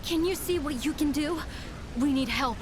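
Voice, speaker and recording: A woman's voice: sits high, moderate pace, background noise, natural voice. An actress playing an earnest, distressed townswoman in a fantasy video game. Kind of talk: video game cutscene acting.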